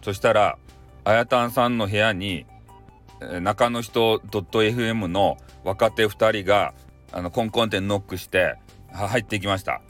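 A man with a Kyushu accent from Fukuoka narrating a comic story in a casual, halting, conversational way. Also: fairly low pitch, fairly bright tone, soft background music. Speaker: storyteller, audio show host.

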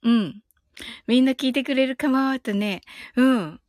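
Woman, high voice, casual live-stream host, giggly, polite, chatty, dry room-free sound. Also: measured pace, darkish tone, dry recording. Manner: giggly, breaking often into laughter; polite, thanking listeners often. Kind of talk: casual live chat with listeners.

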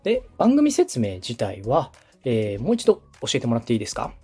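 A man with a mellow, slightly husky voice explains things in an orderly, polite coaching manner. His pitch moves animatedly as he summarizes each point, with faint music behind him. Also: wildly swooping pitch, a little fast. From Osaka, Japan. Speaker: podcast host, radio director coaching a podcaster. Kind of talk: structured, explanatory coaching talk.

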